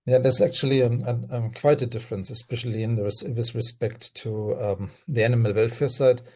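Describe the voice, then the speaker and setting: An older man with a German accent speaks over a video call in a mellow, warm tone at a middling pitch. He talks earnestly, pausing on uhs and restarting phrases, sometimes with a small laugh. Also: fairly even intonation. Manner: earnest, hesitant with fillers, laughs while speaking. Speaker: guest toxicologist.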